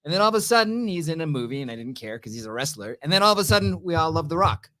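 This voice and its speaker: Low-pitched woman with lively, swooping delivery. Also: racing. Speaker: co-host of a TV review show. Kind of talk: casual banter.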